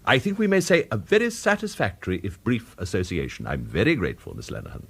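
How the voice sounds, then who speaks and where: An actor in a radio drama playing a suave villain, formal and mock-courteous, with quiet menace beneath the politeness. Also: male voice, lowish voice, wildly swooping pitch, fast talker, creaky, breathy edge, heavily acted. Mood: smooth menace.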